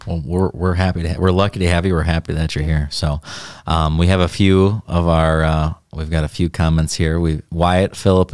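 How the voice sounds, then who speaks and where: A man's voice: low voice, darkish tone, smooth, no echo, studio quality. A man hosting a casual company podcast, talking in a loose, easygoing way with many fillers and restarts. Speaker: podcast host.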